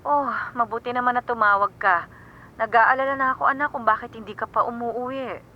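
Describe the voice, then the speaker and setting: A woman's voice: fairly high pitch, fairly even intonation, fairly quick, dull audio, close to an everyday voice. A Filipino woman playing a caring middle-aged mother speaking on the telephone in a radio drama. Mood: concerned, tender. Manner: concerned, motherly.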